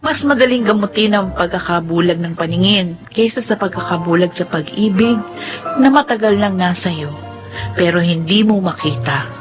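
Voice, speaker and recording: A woman's voice: on the low side, moderate pace, natural voice. A woman playing a devoted, sentimental young wife telling her life in a Filipino radio drama. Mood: tender, reflective. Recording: dull sound with music underneath.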